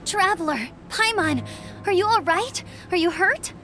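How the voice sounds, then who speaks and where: A woman playing a young female adventurer in an anime video game, energetic and caring, with a peppy, exclamatory manner. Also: very high-pitched, measured pace, slight background noise, heavily acted. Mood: concerned, urgent.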